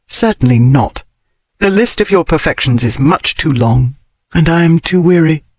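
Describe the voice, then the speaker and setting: A sharp-tongued, logical lady of a bygone era, as a male audiobook narrator puts her on, lifting his voice high and clipping each formal phrase. The sound is close and dry, with no room around it. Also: animated, moderate pace, no room sound. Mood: brisk. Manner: crisp, formal period speech rising in pitch. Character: blunt, logical Victorian-era lady, wry and emotional. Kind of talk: audiobook character reading.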